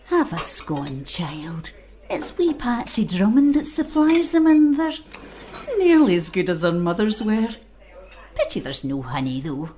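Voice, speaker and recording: Mellow, unhurried old Scottish aunt. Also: female voice, mid-range voice, big pitch swings, a little hiss or hum. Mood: wry, affectionate. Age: older. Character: frail, wise old aunt, a no-nonsense island beekeeper. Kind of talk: naturalistic audio drama acting.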